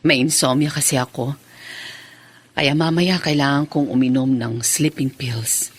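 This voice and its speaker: A woman's voice: very deep voice, steady tone, measured pace. An actress playing a troubled new wife and stepmother in a Filipino radio drama. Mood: uneasy.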